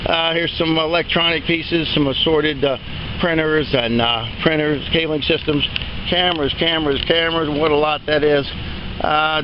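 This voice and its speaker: A man's voice: fairly high pitch, dull audio, a little hiss or hum. A man walking an outdoor auction preview, listing items in a rambling, folksy way with many fillers. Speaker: auctioneer giving a preview walkthrough. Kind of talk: unscripted walk-and-talk tour. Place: outdoors at an open-air sale yard.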